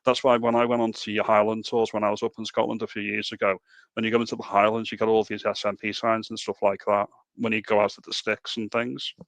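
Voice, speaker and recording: A man with a Liverpool accent hosting a casual political chat, animated and full of rhetorical questions. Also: fairly low pitch, fast, gravelly, dry recording. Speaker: podcast host.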